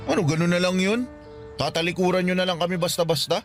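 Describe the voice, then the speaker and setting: Makoy, a hurt teenage son. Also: male voice, high voice, quick pace. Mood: pleading. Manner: pleading, resentful, polite to elders. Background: music.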